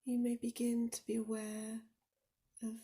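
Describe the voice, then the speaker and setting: A woman leading a guided meditation in a soft, soothing, often near-whispered voice, speaking deliberately with gentle pauses. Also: fairly high pitch, completely flat delivery. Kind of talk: guided body scan meditation.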